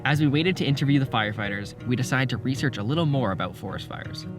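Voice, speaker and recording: A man's voice: mid-range voice, expressive, fast, over music. A young man with an earnest, curious manner, hosting and narrating a documentary and interviewing people.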